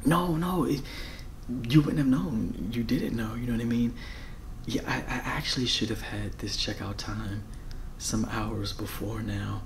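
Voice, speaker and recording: An American man in his thirties doing a casual ASMR roleplay as a friendly, flustered rental host, soft-spoken, halting and apologetic. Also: medium pitch, a little hiss or hum. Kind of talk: ASMR roleplay, casual one-sided conversation. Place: bedroom, indoors.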